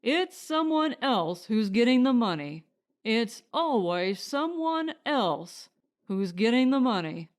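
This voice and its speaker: Principled male settler for audiobook female reader, pointed and firm, slightly high pitch, unhurried. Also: sing-song. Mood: sarcastic. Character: principled, blunt husband and would-be settler. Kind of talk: audiobook character dialogue.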